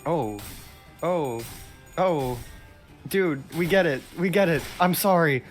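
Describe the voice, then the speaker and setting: A man's voice: a little high, expressive, slow pace, faint music behind. Young man bantering over a game. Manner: exclamatory, bantering. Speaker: gamer playing with a friend, reading story text aloud. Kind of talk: casual game commentary.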